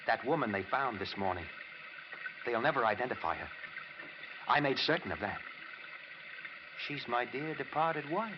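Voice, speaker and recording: A cold-blooded American killer acted by a man, threatening and hard, in forties radio style. His voice is of average pitch, on a dull recording with plenty of noise. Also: expressive, moderate pace, pauses often, natural voice. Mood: gloating, cold. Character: cold, menacing wife-killer holding a gun. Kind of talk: 1940s radio drama acting. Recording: noisy, muffled vintage radio recording.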